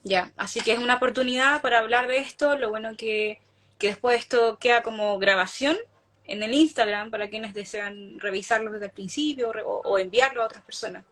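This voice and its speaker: Woman, activist, earnest, explanatory, fairly high voice, video call. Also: a little fast, a bit bright. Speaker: animal rights activist. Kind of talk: casual online talk.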